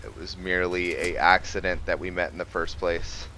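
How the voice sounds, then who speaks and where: A man's voice: low voice, fairly even intonation, normal speed, over music, rough recording. A man giving casual, laid-back commentary as he plays a video game, thinking aloud. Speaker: gamer narrating his playthrough. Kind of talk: casual gameplay commentary with roleplay.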